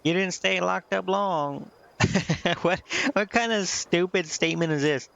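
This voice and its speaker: A man giving casual, informal let's-play commentary as a game streamer, talking in a halting way. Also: high-pitched, creaky, a bit bright. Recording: dull lo-fi mic, music behind. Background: game music.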